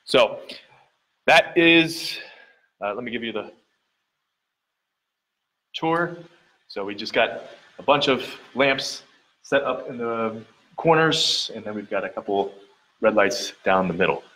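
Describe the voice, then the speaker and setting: Earnest lower-voiced man touring his gym. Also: lively intonation, slow, long pauses, sharp tone, poor audio. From Central Ohio, US. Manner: earnest, repetitive explaining with many fillers. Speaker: gym owner and trainer. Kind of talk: casual walkthrough vlog. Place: large dim indoor gym studio. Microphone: handheld camera mic at arm's length.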